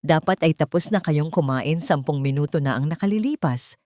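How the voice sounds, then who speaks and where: A woman's voice: low voice, lively intonation, fairly quick, darkish tone, no room sound, studio quality. A woman voicing a cold, strict head nurse who gives firm orders in an audio drama. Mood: stern. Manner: firm, commanding.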